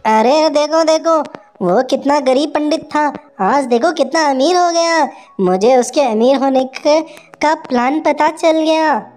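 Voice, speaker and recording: A woman's voice: high-pitched, a little slow, a little mellow, voice pushed up. A woman voicing a wealthy, envious priest's wife in a cartoon, speaking insistently and gossipily. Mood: excited.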